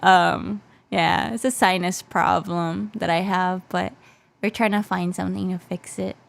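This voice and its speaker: A woman's voice: lowish voice, fairly even intonation, a little slow, creaky. Casting: female livestreamer, casual and playful, hesitant and repetitive, stuffy nose, voice slightly worn out. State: slightly losing her voice. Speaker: VTuber livestreamer. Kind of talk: casual livestream chat in English mixed with Japanese.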